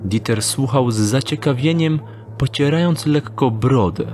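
A man narrating an audio drama in a steady, descriptive storytelling manner. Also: medium pitch, a little slow. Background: music.

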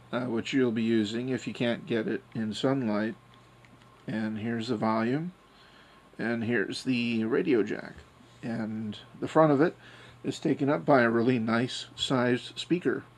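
A man's voice: mid-range voice, a little hiss or hum. A man hosting an informal hands-on product video, talking casually and a little crudely. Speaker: host of product unboxing videos. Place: small metal trailer, then outdoors in snowy yard.

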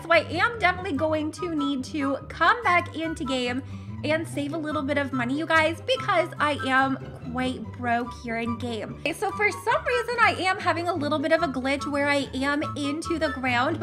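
A young woman hosting a gaming channel, commenting casually with gushing gasps and giggles while losing her voice. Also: sits high. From Canada.

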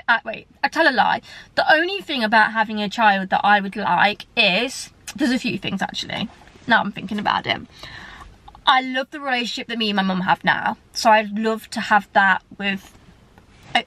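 A woman's voice: medium pitch, a bit bright. A candid woman in her early thirties vlogs from the driver's seat of a parked car. She talks in a loose, conversational way, hands moving as she speaks. The camera sits on the dashboard in front of her. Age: 30s. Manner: chatty and candid, gesturing with both hands. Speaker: vlogger. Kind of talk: casual chat to camera.

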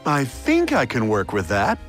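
Flustered, wildly swooping boutique clerk. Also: male voice, medium pitch, a little slow. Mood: helpful. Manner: hesitant, easily flattered, theatrical. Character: flustered, kindly male boutique fashion clerk. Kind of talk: animated game cutscene acting. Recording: music under the voice.

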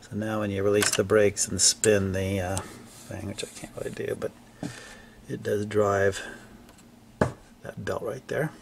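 Older man with a gravelly, creaky voice. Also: fairly low pitch, level tone, a little hiss or hum. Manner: halting, thinking aloud while working with his hands. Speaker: hobbyist restorer presenting a repair video. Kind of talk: casual unscripted repair narration. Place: indoor basement-like home workshop.

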